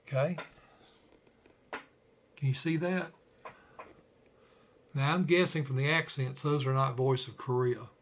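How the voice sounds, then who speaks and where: A man's voice: mid-range voice, steady tone, takes it slowly, long pauses, slight background noise. A man hosting an informal hobby tutorial, explaining step by step in a conversational way. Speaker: ham radio tutorial host.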